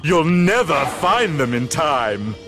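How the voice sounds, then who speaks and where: An actor playing a vain, gloating mad scientist villain in a cartoon video game, pompous and theatrical. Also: male voice, on the high side, big pitch swings, slow, fairly bright tone, soft background music, exaggerated voice.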